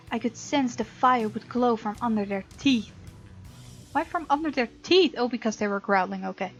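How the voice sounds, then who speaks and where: A woman let's-play host reading visual novel narration aloud expressively, with informal asides. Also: a little high. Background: faint game music.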